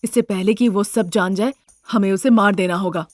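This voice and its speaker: A woman's voice: mid-range voice, normal speed, no room sound, clean studio sound, voice pushed down, natural voice. A woman voicing a ruthless, scheming young married son in a cartoon story. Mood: alarmed, plotting.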